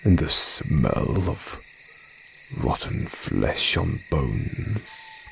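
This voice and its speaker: Man playing a male werebear, low gravelly voice, hugely swooping intonation, genteel, condescending flirt, audio roleplay. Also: big pitch swings, slight background noise, exaggerated voice. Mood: curious, flirtatious. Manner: slow, drawn-out, genteel and condescending. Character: smooth, haughty male werebear, courteous yet predatory flirt. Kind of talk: audio roleplay monologue addressed to listener.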